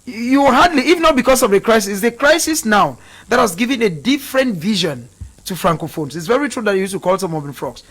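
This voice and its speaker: Panel guest, man with a high voice, cutting in with short halting remarks. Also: lively intonation, a bit bright. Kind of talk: heated panel debate.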